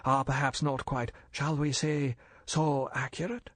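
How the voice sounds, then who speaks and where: A man voicing a suave older Chinese merchant in an audiobook, bland and smiling, with measured, formal, politely evasive courtesy. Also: mid-range voice, flat delivery, fairly bright tone, no room sound. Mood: bland, courteous.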